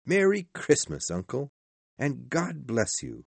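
Man acting a warm-hearted young nephew, high-pitched, very animated, earnest, exclaiming, storybook character. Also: slow pace, creaky, breathy edge, no echo, heavily acted. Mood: hurt but kindly. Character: cheerful, warm-hearted young nephew pleading with his uncle.

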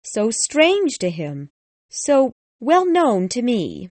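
Storybook role: haunting old jilted bride, high lilting falsetto, slow, put on by a male narrator. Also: huge pitch swings, no room sound, exaggerated voice. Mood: wistful. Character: eerie old jilted bride, proud and bitter. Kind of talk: audiobook reading.

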